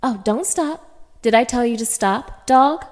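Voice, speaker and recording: A woman's voice: a little high, a little slow, natural voice. A woman playing a cruel, haughty young dominatrix in an audio roleplay, speaking straight to the listener with taunting, commanding contempt. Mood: mocking, domineering. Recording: reverberant, echoing room.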